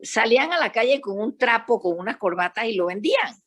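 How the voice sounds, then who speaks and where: An older woman from Panama with a Panamanian accent, talking as a psychologist guest in a panel discussion and telling stories in an animated, emphatic way. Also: a little high, a little fast. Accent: Panamanian Spanish.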